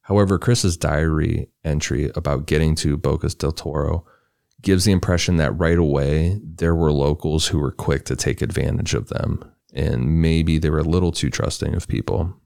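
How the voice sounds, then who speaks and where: A man co-hosting a podcast, reading a true crime story in a relaxed, loose way with casual asides. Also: extremely low pitch, normal speed, creaky, no room sound, clean studio sound. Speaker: podcast co-host.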